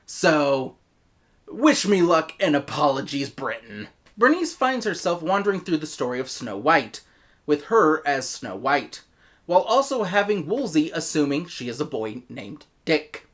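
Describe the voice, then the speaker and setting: A woman with an American accent giving a scripted review in an animated manner, with bursts of mock-exasperated emphasis. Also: low-pitched, a little slow. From United States. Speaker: audio drama reviewer.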